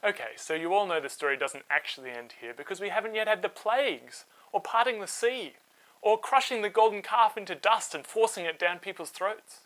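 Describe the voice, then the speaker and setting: Bright, very animated male storyteller. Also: high-pitched, fairly quick, low-quality recording. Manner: dramatic, expressive delivery. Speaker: storyteller and host. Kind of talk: animated scripted children's Bible storytelling.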